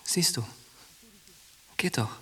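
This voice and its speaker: A man's voice: slow pace, pushed and bright, in a whisper. An actor playing a brash, coarse young soldier who goads his friend, in a radio drama. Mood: exasperated, coaxing. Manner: coarse, goading, slangy.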